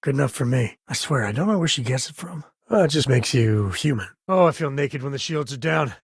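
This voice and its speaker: An actor voicing an easygoing space-western ranger and devoted father in a video game, with a husky voice and a folksy, wry, conversational manner. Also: medium pitch, lively intonation, a little fast, dry recording, clean studio sound, full character voice. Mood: relaxed, sincere. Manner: folksy, wry, casual drawl of phrasing.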